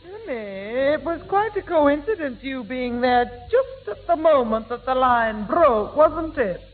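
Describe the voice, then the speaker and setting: High, animated, no-nonsense mother. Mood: reproving. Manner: brisk, scolding, no-nonsense. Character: firm, exasperated mother of a naughty boy. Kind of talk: 1960s British radio sitcom acting. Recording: tinny, noisy old radio recording.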